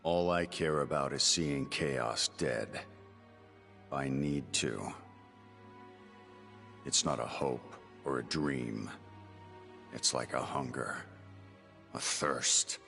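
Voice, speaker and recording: Very deep, gravelly brooding warrior. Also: male voice, takes it slowly, pauses often, sharp tone, faint music behind, heavily acted. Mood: grim, intense. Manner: blunt, terse, brooding. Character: gruff, single-minded male warrior obsessed with vengeance. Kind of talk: dramatic video game cutscene acting.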